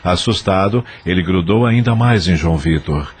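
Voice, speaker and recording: A man's voice: sits low, expressive, normal speed, husky. A man reading scripted narration for a dramatized audio novel.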